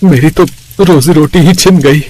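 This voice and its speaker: A man's voice: a little high, moderate pace, mellow, slightly rough. Man as plaintive, humble village husband. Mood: despairing. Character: worried, humble village eatery owner, a husband.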